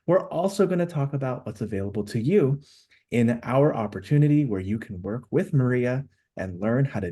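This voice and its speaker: A man's voice: mid-range voice, mellow. A man hosting and introducing a webinar in an upbeat, promotional manner. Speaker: host.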